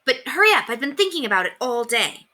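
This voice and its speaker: A woman's voice: high-pitched, big pitch swings, fast, bright, forceful tone, voice pushed up, heavily acted. A woman reading a children's storybook, voicing an earnest, pleading ten-year-old boy with emphatic, childlike delivery. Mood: eager. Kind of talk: children's storybook read-aloud.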